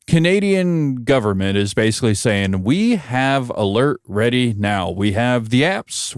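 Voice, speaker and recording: A man's voice: lowish voice, dry recording. Wry American man, close dry sound. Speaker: podcast host and broadcast engineer. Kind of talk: conversational solo commentary.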